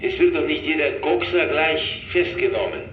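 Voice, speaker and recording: A man's voice: fairly high pitch, normal speed. A man playing a wary, shady fixer in an audio drama, heard through a wiretapped telephone filter, with hesitant phrasing. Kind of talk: German audio drama acting. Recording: narrow, echoey phone line with faint noise.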